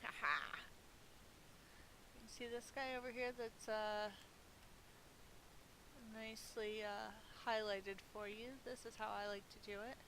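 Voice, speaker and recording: A woman's voice: medium pitch, flat delivery, unhurried, long pauses, background noise. A woman giving casual, playful commentary as she plays a video game, chatty and muttering asides to herself. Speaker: gaming video host, player.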